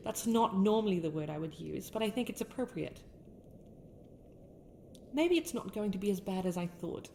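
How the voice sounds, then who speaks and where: A woman's voice: medium pitch, animated, normal speed, long pauses, warm tone, a little hiss or hum, lightly acted. A woman with a British accent playing a haughty young winter princess in a scripted ASMR roleplay, speaking in formal, courtly phrases. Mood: tender, resigned. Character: haughty sharp-tongued young winter princess with a softer, regretful side.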